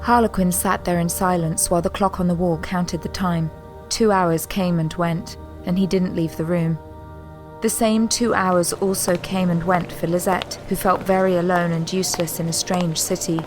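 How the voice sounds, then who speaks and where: A woman's voice: lowish voice, fairly even intonation, moderate pace, darkish tone. A woman delivering scripted, dramatic story narration for an audio drama. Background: prominent music.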